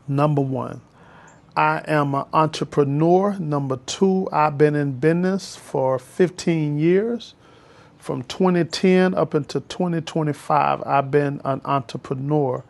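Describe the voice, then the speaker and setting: A man's voice: a little high, vocal fry. A man, telling his life story in a casual, preachy, emphatic vlog from his porch. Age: 50s. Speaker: vlogger and school bus driver trainer.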